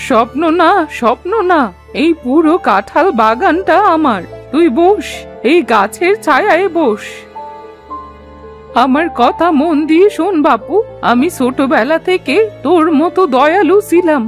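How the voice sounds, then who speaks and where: Woman voicing high-pitched wise old village granny. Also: lively intonation, unhurried. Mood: gentle, instructive. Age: older. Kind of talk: Bengali cartoon folktale voice acting. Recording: music behind the voice.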